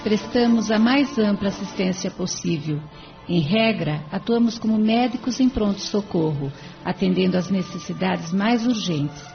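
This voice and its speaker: A woman's voice: mid-range voice, expressive, normal speed, gravelly. A woman playing a devoted spirit helper in an audio novela, explaining in a formal, kindly, didactic way. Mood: earnest. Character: serene, devoted female spirit helper explaining her charity. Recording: dull sound with faint music.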